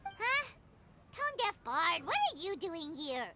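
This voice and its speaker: A woman voicing a tiny childlike fairy companion in a video game, squeaky, excitable and blunt. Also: slow pace, heavily acted. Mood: grumpy. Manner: speaks of herself in third person, blunt. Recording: loud background noise, clicks.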